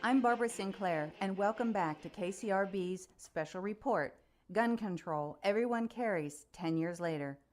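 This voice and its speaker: An actress playing a composed news special reporter and interviewer in a radio play, formal, measured and probing. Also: female voice, medium pitch, darkish tone, soft background music, close to an everyday voice.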